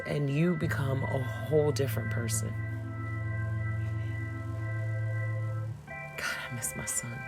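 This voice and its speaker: A woman's voice: deep voice, creaky. An actress playing a loving mother who mourns her son, speaking tenderly in an audio drama monologue. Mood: grieving. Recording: loud music under the voice.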